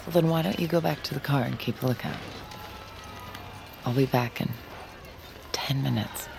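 A woman playing a weary, determined fugitive in an audio drama, terse and naturalistic with a gravelly voice. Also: extremely low pitch, normal speed, music in the background, exaggerated voice. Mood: determined, evasive. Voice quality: gravelly, breathy near-whisper at times.

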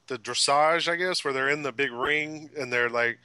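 A man co-hosts a casual sports podcast with a bright, forward voice. He asks easygoing, rambling questions, and the sound is dry and close with no room around it. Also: medium pitch, moderate pace, clear, resonant tone, dry recording. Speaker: podcast co-host.

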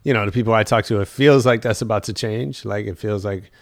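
Low-voiced host with a dry, close sound. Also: male voice, brisk, no room sound. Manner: friendly, encouraging, meandering questions. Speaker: interview host. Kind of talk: casual interview talk.

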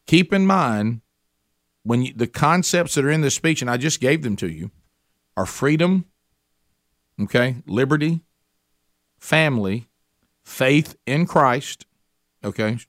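A man hosting a talk show, giving casual political commentary in a halting way, with restarts and fillers. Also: mid-range voice, expressive, a bit bright, dry recording, clean studio sound.

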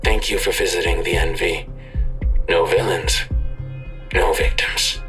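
Ominous male greeter: deep, calm, formally menacing, for audio drama. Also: unhurried, faint music behind. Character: sinister male website greeter, calmly menacing.

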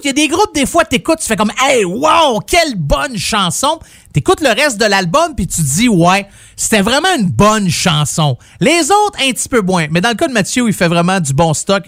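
A middle-aged Québécois man hosts a rock radio show. He chats loosely and playfully, with a fairly high voice. The sound is dry and close, with no room around it. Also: a bit bright, dry recording. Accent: Québécois French. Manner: chatty, playful, rambling. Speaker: radio host. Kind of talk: casual music radio chat.

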